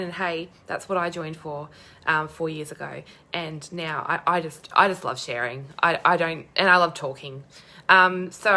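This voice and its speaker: A young woman with a hoarse, croaky voice chatting bubbly on a live stream, greeting viewers by name. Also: on the low side, pushed and bright. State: hoarse, losing her voice. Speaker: crafting demonstrator hosting a live stream.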